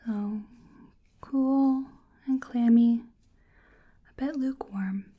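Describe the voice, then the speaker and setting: Slow, breathy whispering ASMR woman. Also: a little high, phone-quality sound. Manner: gentle, hesitant, musing delivery. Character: curious, polite female researcher examining a creature. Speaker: ASMR roleplay performer. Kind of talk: soft-spoken ASMR roleplay.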